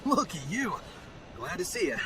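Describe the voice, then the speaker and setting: A man's voice: sits high, sing-song, moderate pace, noisy background. A man acting as a chatty, slippery merchant in a video game, folksy, effusive and ingratiating. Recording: music behind the voice.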